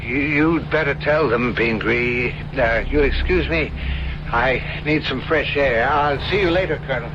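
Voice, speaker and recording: A man acting a troubled, courteous professor in an old-time radio mystery, measured and formal. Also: mid-range voice, close to an everyday voice. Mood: grave. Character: solemn, troubled, courteous professor haunted by threats. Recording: muffled old radio broadcast under engine noise.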